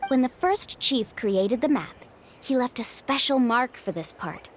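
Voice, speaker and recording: A woman's voice: fairly high pitch, lively intonation, a little slow, a little hiss or hum. A woman voicing a friendly, confident young tour guide in a video game, upbeat as she explains things. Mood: confident, explaining. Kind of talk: video game character acting.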